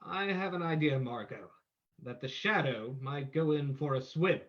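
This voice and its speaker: A man playing a confident, commanding gentleman sleuth in an old-time radio mystery. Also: a little high, lively intonation, moderate pace, highs cut off.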